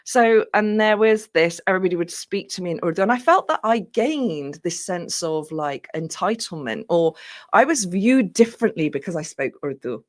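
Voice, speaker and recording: A middle-aged woman from Britain, a guest on a community radio show, speaking earnestly and animatedly with quick fillers. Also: on the low side, animated. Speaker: guest researcher. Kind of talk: casual radio interview. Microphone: studio microphone.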